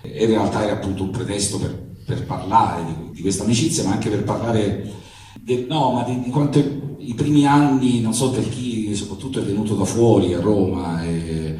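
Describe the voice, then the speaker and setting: A man's voice: fairly low pitch, moderate pace, dark tone. A man speaking informally as an author introducing his work, hesitant and searching for his words. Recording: very echoey hall, distant mic.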